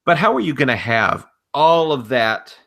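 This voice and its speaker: Forceful, bright-voiced male podcast co-host. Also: mid-range voice, big pitch swings, normal speed, gravelly. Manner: emphatic, opinionated. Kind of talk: casual opinionated wrestling discussion.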